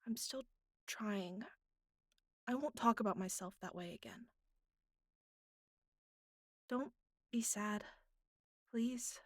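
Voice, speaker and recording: Woman acting a high, slow-talking bratty, vulnerable girlfriend type. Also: no room sound, clean studio sound. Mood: sad, fragile. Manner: halting, pleading, pouty, soft breathy asides. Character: bratty, vulnerable young woman held captive by her admirer. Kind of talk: intimate audio roleplay addressed to the listener.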